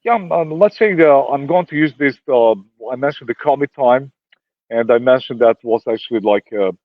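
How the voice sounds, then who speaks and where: A man whose first languages are Serbian and Romanian, answering interview questions in a halting way with many fillers and restarts. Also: mid-range voice, no echo, narrow, tinny audio. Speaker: interview guest.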